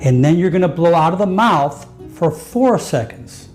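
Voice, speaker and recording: A man's voice: fairly high pitch, animated, normal speed, darkish tone, faint music behind. A man giving guided breathing instruction, step by step and with repetition. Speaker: exercise instructor.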